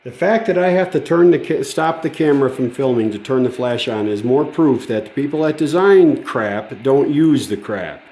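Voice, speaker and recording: Male radio restorer, informal and keen, soft mellow tone, casual phone-shot demo in a live-sounding room. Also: medium pitch, expressive, normal speed, few pauses. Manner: enthusiastic, folksy, informal. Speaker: radio restorer giving a demonstration. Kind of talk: casual hands-on demo chat. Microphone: handheld smartphone camera. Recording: roomy phone-camera sound. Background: vintage radio playing music.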